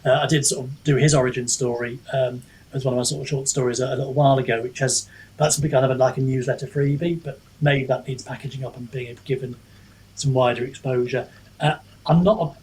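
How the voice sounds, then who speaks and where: A man's voice: mid-range voice, a little mellow, slight background noise, rough recording. A man chatting reflectively as an author being interviewed, hesitant and self-deprecating, often wandering mid-thought. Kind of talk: casual reflective podcast chat.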